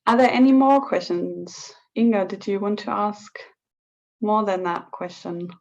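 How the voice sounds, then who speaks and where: A woman hosts a book club conversation over a video call, and she speaks very slowly. She takes long pauses and fills them with "um". Her voice sits in a middle pitch. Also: a little mellow, dull audio. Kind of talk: moderating an online talk.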